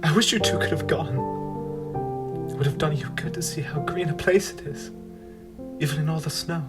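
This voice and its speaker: Teen guy doing a sad broken-up dad, stumbling over words, guitar music loud behind. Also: a little high, natural voice. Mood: grieving. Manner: halting, choking with grief. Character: grief-stricken father, broken and tender. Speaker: stage actor. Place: school theatre stage.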